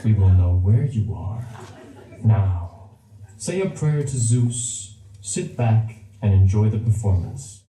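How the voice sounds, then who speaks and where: Very dark-voiced man announcing in echoey hall. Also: lowish voice, lively intonation, slow pace. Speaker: theater announcer. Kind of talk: pre-show announcement with mock-ominous humor. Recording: echoey theater hall from far off.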